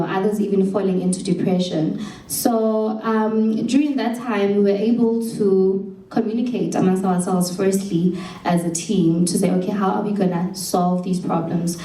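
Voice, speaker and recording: A woman's voice: medium pitch, mellow. A young South African woman speaking earnestly at a showcase about what she learned, with some restarts. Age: young adult. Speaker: program alumna and speaker. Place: event hall. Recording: roomy hall from far off with faint hum.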